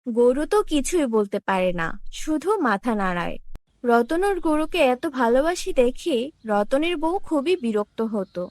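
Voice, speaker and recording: A woman's voice: sits high, expressive, a little slow, a little mellow, dry recording. A woman reading scripted fairy-tale narration for children as a storyteller.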